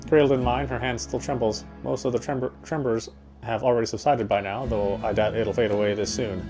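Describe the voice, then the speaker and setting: Young male romantic lead actor, visual novel: introspective, tender, self-deprecating, brisk delivery over guitar music. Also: medium pitch, steady tone, a little mellow, close to an everyday voice. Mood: tender, reflective. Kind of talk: first-person visual novel narration and dialogue.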